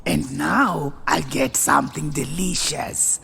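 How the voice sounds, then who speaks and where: Sly, creaky-voiced greedy hyena. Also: female voice, deep voice, a bit bright, full character voice. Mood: gloating, villainous. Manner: scheming, drawn-out villainous delivery. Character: sneaky, greedy, hungry hyena posing as a teacher. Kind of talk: children's cartoon storybook acting. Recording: music under the voice.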